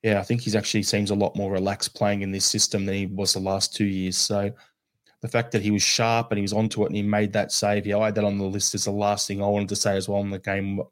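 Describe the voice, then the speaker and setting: A man with an Australian accent co-hosting a casual football podcast, animated and acting out his reactions. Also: fairly low pitch, quick pace. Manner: animated, acts out reactions in quotes. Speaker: podcast co-host.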